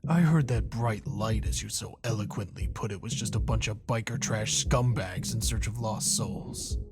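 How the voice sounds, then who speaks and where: An actor playing a bitter, grieving, foul-mouthed middle-aged police inspector in a video game, with a gravelly, breathy, world-weary delivery. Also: male voice, lowish voice, normal speed, over music, lightly acted. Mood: cynical. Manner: world-weary, cynical, swearing. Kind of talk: noir crime narration in interrogation dialogue.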